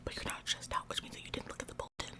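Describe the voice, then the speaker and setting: An officious retail worker, played by a woman for an ASMR roleplay, whispers throughout. She is smug and passive-aggressive, repeating herself in halting little nags as if she were in charge. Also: lightly acted. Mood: stressed, nagging. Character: bossy, officious female store employee, smug tattletale. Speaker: ASMR performer.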